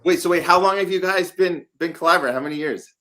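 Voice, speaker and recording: A man's voice: sits high, quick pace, fairly bright tone. A man hosting an interview in a conversational style, speaking as the show's host.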